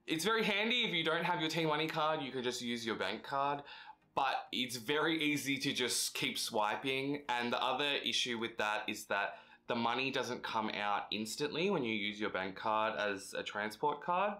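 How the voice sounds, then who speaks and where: Bright-toned chatty young man. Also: medium pitch. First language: English. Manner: chatty, conversational, frequent fillers. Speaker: vlogger. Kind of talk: casual advice vlog.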